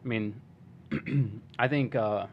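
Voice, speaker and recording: A man hosting a casual interview podcast, his voice hoarse and starting to give out. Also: lowish voice, slow, highs cut off, slight background noise. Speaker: podcast host interviewing a guest.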